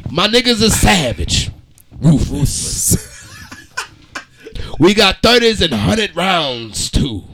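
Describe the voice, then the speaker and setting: A man co-hosting a podcast, giving a profane, slang-heavy mock-dramatic reading with theatrical pauses. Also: sits high, wildly swooping pitch, background noise. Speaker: podcast co-host.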